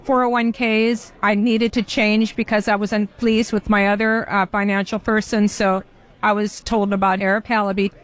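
Flat-toned woman giving a testimonial. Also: medium pitch, normal speed. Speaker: client testimonial.

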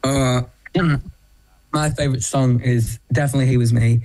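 A young man in a band with a croaky voice, making laid-back remarks in an interview. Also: medium pitch, fairly even intonation, normal speed, a little mellow, poor audio. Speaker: band member.

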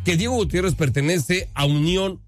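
Guy doing upbeat radio host talk, kinda high voice, emphatic and polite, stretches his words, music under him. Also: normal speed. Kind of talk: upbeat live radio show presenting and interviewing. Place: radio studio. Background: music playing under the voice.

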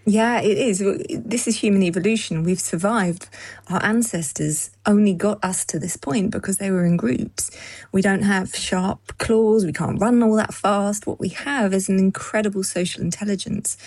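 A woman psychologist in a radio interview, explaining things in a measured way. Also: mid-range voice, a little mellow, husky, dry recording. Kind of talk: interview answers. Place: London.

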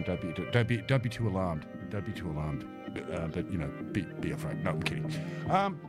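A man hosting a community radio show, upbeat and chatty, his voice croaky from a cold. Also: mid-range voice. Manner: upbeat, chatty, repeats phrases. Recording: music bed behind the voice.

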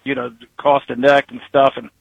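A man's voice: medium pitch, flat delivery, brisk, vocal fry, slightly rough, dry recording, lo-fi sound. A man in his early sixties, a retired truck driver calling in, talking in a rambling, folksy way and chuckling between thoughts. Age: 60s. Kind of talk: casual phone-in chat.

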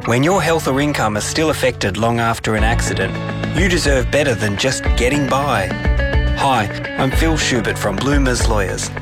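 Lawyer reading advert over loud music. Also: male voice, mid-range voice, moderate pace. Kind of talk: scripted advert read.

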